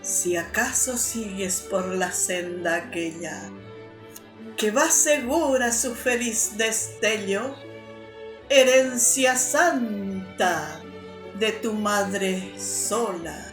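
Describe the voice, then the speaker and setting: Woman reading a poem super slow and solemn, violin playing behind, echoey room. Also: medium pitch. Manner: measured, solemn reciting. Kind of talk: slow poetry recitation over music. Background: violin music.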